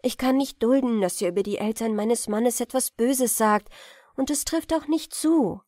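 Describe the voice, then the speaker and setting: A woman's voice: a little high, normal speed, a little mellow, no room sound, clean studio sound, lightly acted. A woman voicing a kind, forthright young peasant woman in a fairy-tale audiobook, eager and plain-spoken. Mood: firm, indignant.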